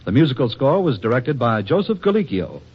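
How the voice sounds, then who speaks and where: Husky, expressive vintage radio announcer man. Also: mid-range voice. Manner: formal, measured. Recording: dull, hazy vintage radio recording.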